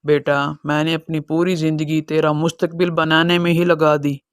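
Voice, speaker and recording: A man playing a gentle, sorrowful elderly father in a dramatized cartoon moral story. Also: fairly high pitch, flat delivery, normal speed, clear, resonant tone, no echo, studio quality, lightly acted. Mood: pleading, weary. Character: sorrowful, self-sacrificing elderly father, gentle with his children.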